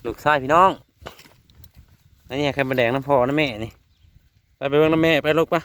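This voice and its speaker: A man's voice: fairly high pitch, steady tone, a little fast, fairly bright tone, clean tone, no echo. Chatty man with an isan accent. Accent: Isan Thai. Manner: chatty, keeps calling out to viewers. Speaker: vlogger. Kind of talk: casual vlog commentary to viewers. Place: outdoors in rural trees.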